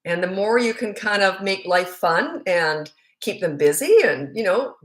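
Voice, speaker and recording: A woman's voice: medium pitch, expressive, a little slow. A middle-aged woman with a Canadian accent, a parenting coach answering viewer questions live in a frank, chatty, rambling way.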